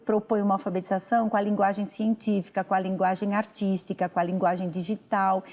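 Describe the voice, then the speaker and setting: Mellow-voiced woman educator explaining. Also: mid-range voice, flat delivery, quick pace. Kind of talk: TV talk show interview.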